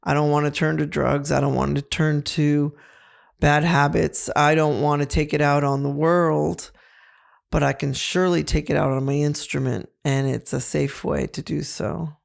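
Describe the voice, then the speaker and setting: A middle-aged woman with a low voice, co-hosting a casual podcast and giving earnest, reflective advice. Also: level tone, moderate pace, vocal fry. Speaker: co-host.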